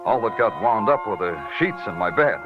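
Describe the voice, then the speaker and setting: Low-voiced hardboiled American detective played by a man. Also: lively intonation, moderate pace, natural voice. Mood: wry, brooding. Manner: wry, dramatic, hardboiled delivery. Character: world-weary hardboiled male police lieutenant narrating his case. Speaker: radio detective lead actor. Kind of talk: 1940s noir radio drama acting. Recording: dull vintage radio recording with prominent music.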